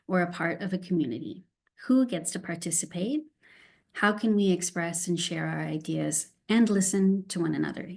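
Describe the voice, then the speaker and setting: A woman's voice: on the low side, creaky, darkish tone. Nervous Canadian woman presenting online. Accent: Canadian English. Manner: nervous, halting, many ums and restarts. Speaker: guest speaker, Montessori teacher educator. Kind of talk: online presentation with shared slides. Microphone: video call.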